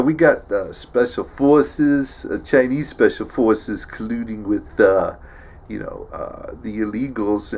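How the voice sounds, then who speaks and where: A man playing a slow-witted, tough action-movie hero who stammers and mumbles through favours and boasts in a comedy sketch. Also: on the low side, vocal fry. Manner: halting, mumbling, stammering braggadocio.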